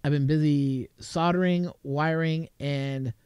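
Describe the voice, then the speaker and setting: A man's voice: a little high, steady tone, slow pace, a little mellow, clear, resonant tone, no echo, clean studio sound. A man from Canada, hosting a late-night live stream from his home desk, chatting casually and rambling with chuckles. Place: home desk crowded with gear. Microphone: desk mic with a mixer.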